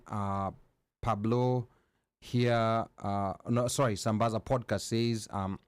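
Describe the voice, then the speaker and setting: A man's voice: lowish voice, darkish tone, no room sound. A man co-hosting and producing a live talk show, chatty and full of fillers as he reads out viewer comments. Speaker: co-host and producer.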